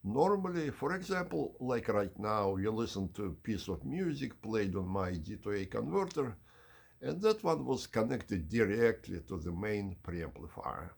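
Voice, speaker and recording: Husky, gravelly man with lively intonation. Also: fairly low pitch, moderate pace. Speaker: channel host giving an audio system tour. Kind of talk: unhurried explanatory video narration.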